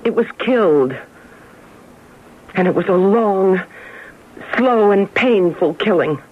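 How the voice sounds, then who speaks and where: A woman playing a sardonic middle-aged ex-wife in a radio drama, speaking in an articulate, measured way. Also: medium pitch, animated. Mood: wounded, firm. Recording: dull old radio recording, faint noise.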